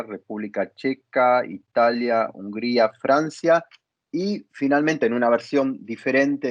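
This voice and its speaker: A man's voice: mid-range voice, no echo. Man hosting an interview, dull dry sound. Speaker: interviewer and dramaturge. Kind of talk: hosting an interview, partly reading from notes.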